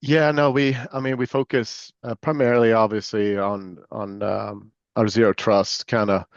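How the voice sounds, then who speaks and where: A man from Sweden with a Swedish accent blended with American Southern tones, answering interview questions in a relaxed, filler-filled way. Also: lowish voice, moderate pace, no echo, dull audio. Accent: Swedish mixed with American Southern. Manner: relaxed, many fillers and restarts. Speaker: guest, security executive.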